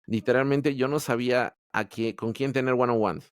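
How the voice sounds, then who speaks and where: A man's voice: medium pitch, steady tone, normal speed, smooth, no echo, clean studio sound. A man from Peru, telling his story as a podcast guest in a candid, hesitant way full of restarts. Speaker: podcast guest, Android developer. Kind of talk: casual interview storytelling.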